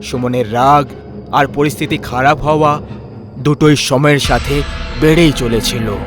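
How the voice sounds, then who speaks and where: A man's voice: mid-range voice, steady tone, a little slow, music in the background. A man narrating a scripted horror story as its storyteller.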